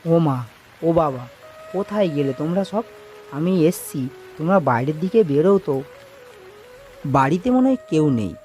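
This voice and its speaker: Cartoon village son, male narrator acting: earnest, eager homecomer, fairly high male voice, music underneath. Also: lively intonation, normal speed, long pauses, dark tone, natural voice. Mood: searching, eager. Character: earnest village son home from city work.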